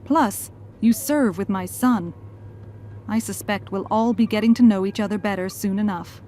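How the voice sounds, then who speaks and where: A woman's voice: mid-range voice, normal speed, dark tone, lightly acted. A woman playing a thoughtful, gracious scientist and mother in a fan audio drama. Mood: grateful. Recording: faint background noise.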